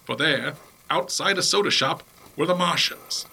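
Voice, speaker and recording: Man acting a pompous higher-voiced radio professor. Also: normal speed, vocal fry, pushed and bright, slightly rough, full character voice. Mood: grave, dramatic. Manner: melodramatic narration turning dismissive. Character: pompous, impatient male scientist professor recounting a Martian invasion. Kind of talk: 1930s radio play acting, comedy spoof.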